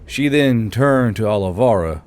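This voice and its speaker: A man's voice: lowish voice, expressive, measured pace, darkish tone, slight background noise. A man reading scripted fantasy fiction as the narrator of an audiobook. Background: music.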